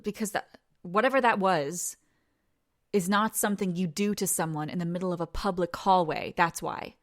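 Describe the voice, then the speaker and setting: A woman playing a teasing, domineering young woman in an intimate audio roleplay, creaky and breathy, often dropping into whispers. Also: lowish voice, normal speed, close to an everyday voice. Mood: flustered, stern. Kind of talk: intimate audio roleplay, second-person dramatic monologue.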